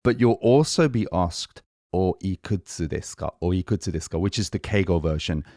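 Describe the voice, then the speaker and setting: Man, podcast teacher, lowish voice, upbeat and chatty, dry close recording. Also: lively intonation, fairly quick, warm tone, no room sound. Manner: chatty, enthusiastic, switches between English and Japanese phrases. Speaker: language podcast host. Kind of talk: casual conversational lesson.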